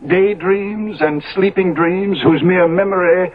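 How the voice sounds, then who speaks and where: A decadent smooth-talking lord, kinda high voice, grand and cynical, thin old radio play recording. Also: male voice, fairly even intonation, measured pace, narrow, tinny audio. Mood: fervent, persuasive. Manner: theatrical, grandly persuasive, epigrammatic. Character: decadent, cynical Victorian lord, suave tempter. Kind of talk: 1970s radio drama acting.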